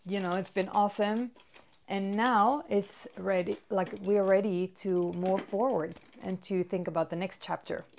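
A woman's voice: mid-range voice, fairly even intonation, measured pace, creaky, a little mellow. Upbeat woman with a Swedish accent. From Sweden. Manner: chatty and upbeat, many fillers. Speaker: fitness vlogger. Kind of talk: casual talk to camera. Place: bedroom with toys, indoors. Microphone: far camera.

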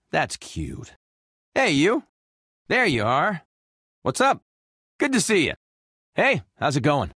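A man's voice: on the high side, fairly bright tone, slightly rough, no echo, studio quality, full character voice. A man voicing a cocky, playful young space outlaw hero in a video game, breezy and wisecracking. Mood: upbeat, cocky. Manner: breezy, wisecracking, self-assured. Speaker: video game character voice actor. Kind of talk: animated video game voice clips.

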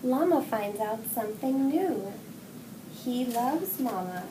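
Middle-aged female storybook reader, expressive and deliberate for young kids; roomy, noisy recording. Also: fairly high pitch. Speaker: children's story reader, hospital executive assistant. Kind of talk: picture book read-aloud for young children. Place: indoor room with wall decorations. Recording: roomy echo, distant mic, clear background noise.

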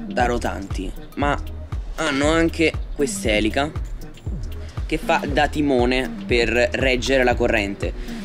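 A teenage male vlogger enthusiastically presenting products to viewers in an unboxing, speaking outdoors at a table. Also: mid-range voice. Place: outdoors at a patio table in a garden.

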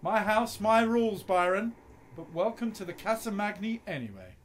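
Animated, breezy idealistic poet played by a man. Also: sits high, moderate pace, slight background noise. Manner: breezy, insistent. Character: Shelley, idealistic, breezy, stubborn male poet and husband. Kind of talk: radio play acting.

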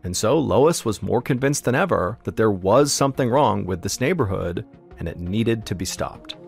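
A man hosting a podcast, telling a true story in steady, suspenseful scripted narration. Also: lowish voice, moderate pace, creaky, faint music behind.

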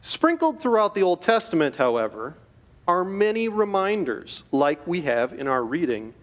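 A middle-aged man giving an earnest, measured chapel devotion to students from a podium in a school auditorium. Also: sits high, sing-song. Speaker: chapel speaker. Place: large school auditorium stage. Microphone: podium microphone. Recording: reverberant auditorium.

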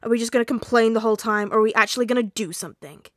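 A woman voicing a gruff, contemptuous teenage boy in an audiobook story, barking and growling the lines. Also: a little high, rapid-fire, dry recording, clean studio sound, close to an everyday voice. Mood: angry. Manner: growling, grumbling, barked.

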